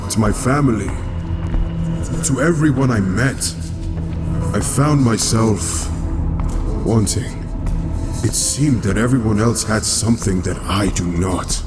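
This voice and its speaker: A man's voice: on the low side, slow, pauses often. A man playing a grave, rational doctor and warrior, speaking formally and solemnly in a comic book dub. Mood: solemn confiding. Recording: music behind voice.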